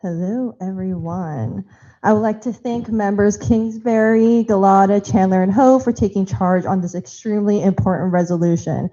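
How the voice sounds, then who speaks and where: A woman's voice: medium pitch, normal speed, mellow, dull audio. A woman on a party committee giving a firm, impassioned prepared speech in a large auditorium. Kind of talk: prepared speech at a public meeting.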